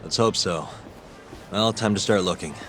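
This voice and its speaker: A man's voice: low-pitched, normal speed, a bit bright. An actor playing an easygoing, slightly awkward male private investigator in a video game, casual and hesitant. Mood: puzzled, casual. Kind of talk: English game dub cutscene acting, naturalistic. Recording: music behind the dialogue.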